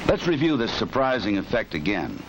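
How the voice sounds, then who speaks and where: Casting: American male narrator, lowish voice, measured and authoritative, vintage training film with clear background noise. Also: very animated. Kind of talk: 1960s instructional film scripted narration. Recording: noisy 1960s film soundtrack.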